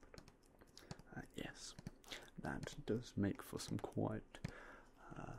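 A young man softly whispering in a polite ASMR roleplay as a game store clerk, close to a binaural mic. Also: lowish voice, takes it slowly, creaky. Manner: soft, polite, mostly unvoiced whispering.

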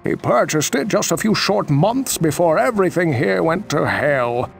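A man voicing a knowing, plain-spoken old village storyteller with a gravelly voice in a fantasy game. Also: fairly high pitch, lively intonation, moderate pace, soft background music. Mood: wry, informative. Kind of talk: fantasy video game dialogue.

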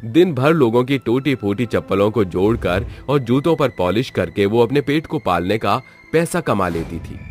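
A man giving scripted narration as the storyteller of a horror tale. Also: lowish voice.

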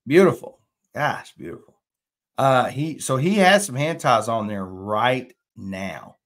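A man's voice: medium pitch, slow pace. Chatty man hosting a live stream. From Texas. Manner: chatty, drawling, reads viewer comments aloud. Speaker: fishing channel host. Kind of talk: casual live stream chat reading comments. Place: indoor room, plain wall.

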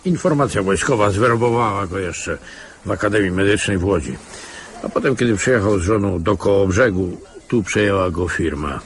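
A man's voice: lowish voice, moderate pace, close to an everyday voice. An actor playing an older, retired secret-service colonel in a radio drama, wry and condescending as he speaks.

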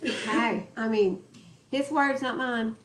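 A woman's voice: on the high side, takes it slowly, slight background noise. A woman leading a home Bible study, stressing words and joking with the group, recorded by a camera in a living room. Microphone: far camera in the room.

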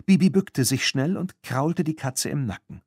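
A man's voice: fairly high pitch, animated, normal speed, darkish tone, husky, no echo, clean studio sound. A man reading a scripted children's story as an audiobook narrator.

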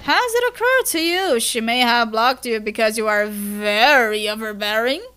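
A woman's voice: a little high, animated, moderate pace, bright, forceful tone, smooth. A woman playing a prim, wry young female troll. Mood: dry, prim.

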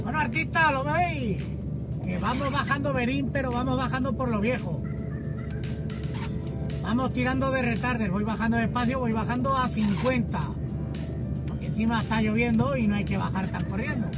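A man's voice: extremely high pitch, normal speed, distant mic, loud music behind the voice. A man in his forties from Spain, a truck driver vlogging casually from his cab, chatty and folksy with the odd curse. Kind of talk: casual driving vlog chat. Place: truck cab on a highway, windy. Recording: loud road and engine noise.

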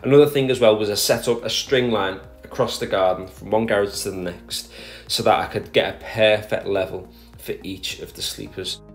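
A man's voice: on the low side, steady tone, normal speed, faint music behind. A man narrating a voice-over about his garden project, explaining his steps in a plain, methodical way with speech and small restarts. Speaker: garden DIY vlogger.

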